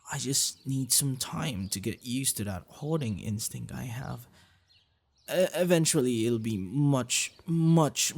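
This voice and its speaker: A man voicing an affectionate, protective, bashful dragon boyfriend in a soft, breathy, hesitant ASMR roleplay. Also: medium pitch. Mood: bashful, apologetic.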